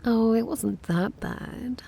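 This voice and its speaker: A woman's voice: on the high side, slow, vocal fry, dark tone, slightly rough, heavily acted. A woman playing a prickly, disdainful vampire who is secretly smitten with a human, huffy and theatrical in an ASMR roleplay. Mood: teasing.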